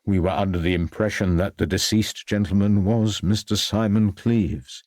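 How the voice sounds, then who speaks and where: A man's voice: fairly low pitch, steady tone, moderate pace, a little mellow, no echo, studio quality, lightly acted. Man playing a formal, deferential undertaker. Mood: anxious. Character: deferential, reluctant male chief undertaker.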